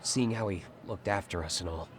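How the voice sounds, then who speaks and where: An actor playing an earnest male high school student with a casual, sincere way of talking in a video game. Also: a little fast, a little hiss or hum, natural voice. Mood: curious, concerned. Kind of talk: video game cutscene acting.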